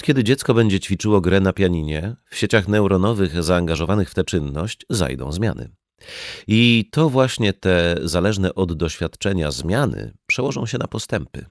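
A man reading a nonfiction audiobook in a measured, articulate way. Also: deep voice, no room sound, clean studio sound. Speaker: audiobook narrator. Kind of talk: scripted nonfiction audiobook narration.

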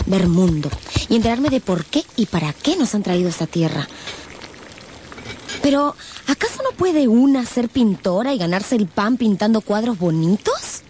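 A woman's voice: mid-range voice, very animated, moderate pace, darkish tone, close to an everyday voice. Radio drama actress: naive, rebellious young woman, mid-range voice, earnest longing, slight background noise. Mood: yearning, earnest. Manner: earnest, yearning. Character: naive yet spirited, rebellious young woman of about twenty. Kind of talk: radio novel acting.

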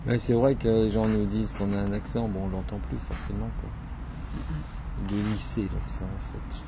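Low-voiced man recalling local words. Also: little pitch movement, normal speed. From northern France. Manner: hesitant, many fillers. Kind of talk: casual interview answers. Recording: clear background noise.

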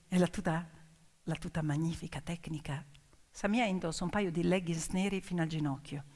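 A woman performing a theatrical narrative monologue as a stage storyteller, in deliberate, dramatic short phrases. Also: lowish voice, slightly rough. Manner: dramatic, deliberate short phrases, swinging from whispers to loud cries. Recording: long hall reverb, piano music behind. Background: piano music.

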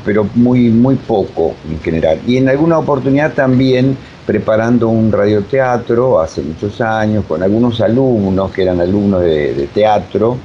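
Deep-voiced Argentine man, halting and digressive. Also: warm tone. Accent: Argentine Spanish. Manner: halting, digressive, repeats himself. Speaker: interviewed theater director and voice teacher. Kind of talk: conversational interview answers. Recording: dull sound with faint rumbling noise.

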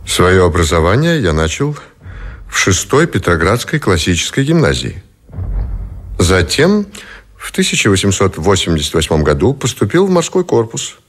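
An actor playing a captive middle-aged admiral who gives formal, measured, dignified testimony in a radio play. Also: male voice, deep voice, sing-song. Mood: dignified, reflective.

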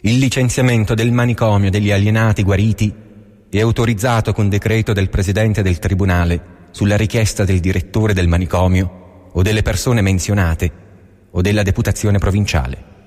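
An actor playing a solemn official who formally proclaims an old royal law in a measured, declamatory manner. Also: male voice, on the low side, fairly even intonation, darkish tone, natural voice. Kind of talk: formal proclamation read aloud. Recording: long reverberant hall echo.

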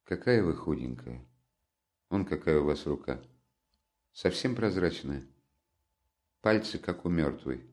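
A brooding young man from a storybook reading, sullen and curt, with a somewhat low voice. He is played by the male reader in his own register. The tone stays dark and withdrawn. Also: animated, moderate pace. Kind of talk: audiobook character reading.

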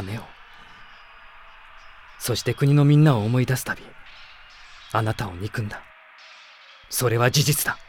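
An actor playing an idealistic young prince with a formal, sincere, intense manner in a radio drama. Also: male voice, medium pitch, slight background noise, close to an everyday voice. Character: earnest, idealistic young prince leading an army. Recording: music behind the voice.

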